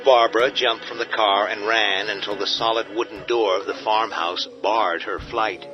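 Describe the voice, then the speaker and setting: A man with a low voice narrates a horror tale in a grave, ominous manner. The sound is dull and lo-fi, with clear music playing under him. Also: steady tone, moderate pace. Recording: dull audio with music bed.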